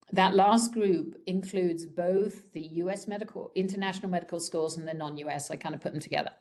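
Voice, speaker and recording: A woman presents an informal webinar for students in a slightly low voice. She sounds croaky, as if getting over a cold, and talks in a conversational, frank way over a video call. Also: darkish tone. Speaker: program director presenting a webinar.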